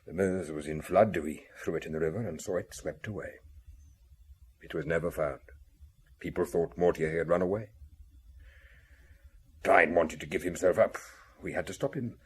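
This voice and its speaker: An actor playing a haunted middle-aged family man in a radio drama, confessing in a husky, grave, halting way. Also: sits low. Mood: grim, confessing.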